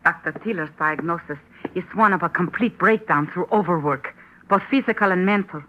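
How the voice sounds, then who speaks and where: An actress playing a guarded nurse in an old radio drama, speaking in a formal, clipped way. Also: female voice, on the low side, brisk, lightly acted. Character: cool guarded female nurse holding a gun. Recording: muffled old radio broadcast with hiss.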